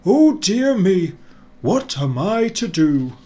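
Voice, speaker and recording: A man's voice: high voice, big pitch swings, takes it slowly, mellow, pitched above the performer's own voice, heavily acted. A man voicing a kindly old Father Christmas from a children's storybook, fretful but hearty. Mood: worried.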